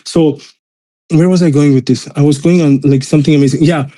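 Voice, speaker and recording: A man's voice: a little high, fairly even intonation, brisk, warm tone, rough recording. A man from Austria, a native German speaker with a mixed European accent in English, talks casually and motivationally in a rambling, emphatic way, peppered with bro slang.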